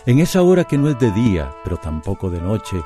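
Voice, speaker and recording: An actor playing a lyrical storyteller, giving measured, poetic narration for a radio soap. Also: male voice, lowish voice, sing-song. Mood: wistful, lyrical. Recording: instrumental music under narration.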